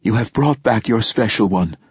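A man voicing a battle-worn woman rebel soldier in a storybook, grave, deliberate and defiant. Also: lowish voice, breathy edge, no echo, highs cut off, voice pushed up. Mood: moved.

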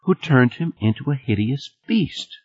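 A man's voice: medium pitch, expressive, unhurried, darkish tone, highs cut off, pitched above the performer's own voice. A man voicing a young daughter, sleepy, earnest and insistent, in a narrated story. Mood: earnest, questioning.

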